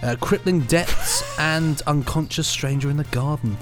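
A playful man with a slightly high voice hosts a podcast. He chats and reads blurbs in a jokey way, with light music behind him. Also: normal speed. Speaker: podcast host. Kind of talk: chatty news presenting, partly reading blurbs.

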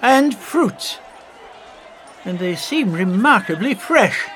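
An actor playing a curious, fussy elderly time traveller in an audio drama, testy and old-fashioned in manner. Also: male voice, high voice, lively intonation, takes it slowly, a bit bright, exaggerated voice. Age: older.

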